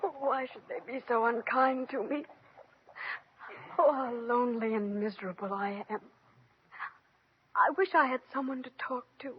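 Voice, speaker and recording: A woman's voice: a little high, fairly even intonation, normal speed, long pauses, noisy background, close to an everyday voice. A woman playing a sweet, meek, wistful young girl, polite, earnest and breathless, in old radio play style. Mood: pleading, hurt. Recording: muffled old radio recording.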